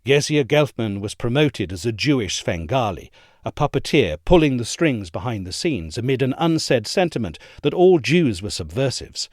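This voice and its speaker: Man, essay narrator, formal scripted reading, articulate, measured, animated pitch movement, dry close sound. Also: no echo.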